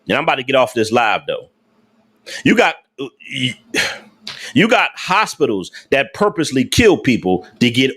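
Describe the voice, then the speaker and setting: A man's voice: fairly high pitch, a bit bright, slightly rough. Male livestream host, casual and slangy, emphatic and repetitive delivery. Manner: emphatic, repetitive, rhetorical questions to chat; slangy informal speech. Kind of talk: casual livestream talk to viewers.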